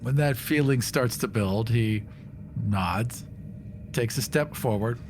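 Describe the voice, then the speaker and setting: A man playing a tabletop game, casually narrating what his character does and thinking out loud as he goes. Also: medium pitch, slight background noise. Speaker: player.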